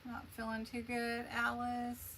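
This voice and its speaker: A woman's voice: on the high side, completely flat delivery, measured pace, bright, forceful tone, a little hiss or hum. A woman hosting a casual craft livestream, chatting along in a rambling way as she works and answers viewer comments.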